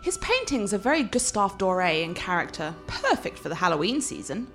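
Casting: actress as confident, cultured young fiancée, swooping lively intonation, medium pitch, articulate and teasing, radio play over music. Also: female voice, brisk. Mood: enthusiastic.

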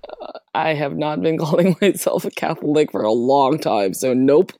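Low voiced woman from the philippines, chatty guest, laughs a lot, dry sound. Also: lively intonation, moderate pace, warm tone, no echo. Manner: laughs often, leans heavily on "like". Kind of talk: casual interview chat.

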